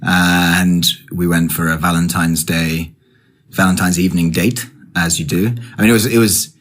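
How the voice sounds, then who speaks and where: Husky-voiced young London man. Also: deep voice, normal speed. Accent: London English. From England. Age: 20s. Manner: rambling storyteller, restarts and self-corrections, animated when excited. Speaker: guest. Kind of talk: casual conversation.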